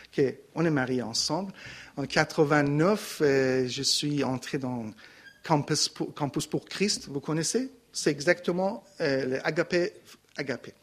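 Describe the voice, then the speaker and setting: Middle-aged Iranian man, Persian accent, halting testimony, fairly high pitch, handheld mic. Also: husky. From Iran. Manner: halting, searching for words, many restarts. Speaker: missionary giving testimony. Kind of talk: personal testimony to a congregation. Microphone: handheld microphone.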